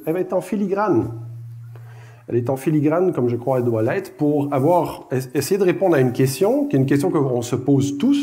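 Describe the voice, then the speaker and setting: A man's voice: medium pitch, warm tone. A middle-aged man with a Québécois accent, giving a casual, self-deprecating public lecture with many fillers. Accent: Québécois French. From Quebec, Canada. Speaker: guest lecturer.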